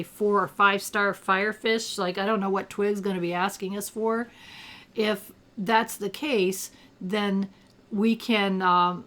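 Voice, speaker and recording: An older retired woman with a gravelly, allergy-roughened voice, chatting casually while she plays a game and thinks aloud. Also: medium pitch, fairly bright tone. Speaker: gameplay commentator. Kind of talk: casual gameplay commentary.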